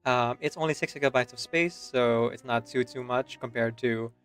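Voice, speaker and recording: A man's voice: medium pitch, moderate pace, a bit bright, clean tone, faint music behind. A man with a Filipino accent chatting casually on a stream, easygoing and chuckling, with lots of fillers.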